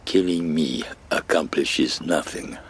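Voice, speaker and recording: An actor playing an older fugitive war criminal, courtly, greedy and pleading in a halting radio drama performance. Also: male voice, very deep voice. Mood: desperate pleading. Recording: noisy radio drama recording.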